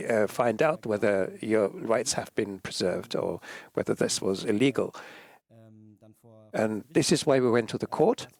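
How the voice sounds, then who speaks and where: A man's voice: mid-range voice, a little mellow. Hesitant man giving a panel talk. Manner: hesitant, many fillers, clears throat. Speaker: press freedom advocate on a panel.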